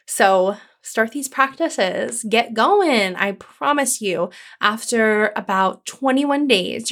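A woman hosting her own podcast as a coach, talking to listeners in an upbeat, encouraging, conversational way with a calming voice. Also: medium pitch. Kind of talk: solo podcast episode.

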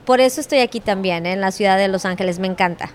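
A woman, an event organizer guesting on a podcast, confident, energetic and outspoken. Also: mid-range voice, fast talker, a little hiss or hum. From Houston, Texas, partly raised in Mexico. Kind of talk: casual interview talk.

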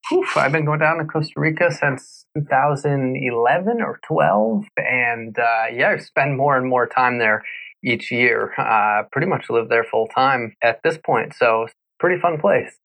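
Podcast host from New York. Gravelly, easygoing, chatty, lots of fillers. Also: male voice, mid-range voice, unhurried, fairly bright tone, rough recording. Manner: loose, chatty, many fillers. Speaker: podcast host, here a guest. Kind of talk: casual conversational intro and interview.

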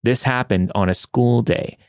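A man's voice: mid-range voice, moderate pace, a little mellow, dry recording, studio quality. A man reading scripted narration as the host and storyteller of a horror podcast. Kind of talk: scripted narration of listener-submitted horror stories.

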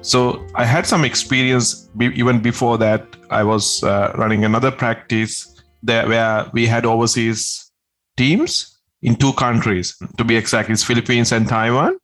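A man's voice: mid-range voice, measured pace, a bit bright, soft background music. A man from Sri Lanka, a tax agent answering interview questions in an earnest, step-by-step way.

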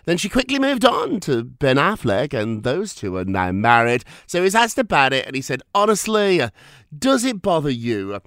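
A man's voice: on the high side, huge pitch swings, moderate pace, fairly bright tone, no room sound, clean studio sound. A man hosting a gossip podcast, chatty and theatrical, with a slight cold. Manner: chatty, laughs often, theatrical asides. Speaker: podcast host. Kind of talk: casual gossip chat.

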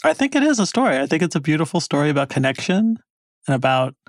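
A man's voice: on the high side, sing-song, fast talker, no echo. A man hosting a podcast, chatting casually with his guest and making dry, playful asides. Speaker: podcast host. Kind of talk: casual chat.

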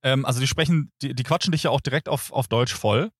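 A man co-hosting a casual remote podcast, talking in an animated, self-mocking way with many restarts. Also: medium pitch, fast, slightly rough, no room sound, studio quality. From Germany. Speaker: podcast co-host.

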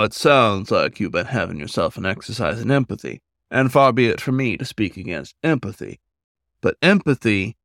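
A man voicing a wise, gentle male werewolf in a story, speaking in a measured, folksy, reassuring way. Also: on the low side, no room sound, clean studio sound.

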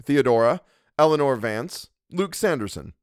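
A man reading a story and voicing a mild, earnest middle-aged doctor who speaks gently and hesitantly. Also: mid-range voice, lively intonation, moderate pace, dry recording, studio quality, pitched above the performer's own voice, natural voice. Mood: placating.

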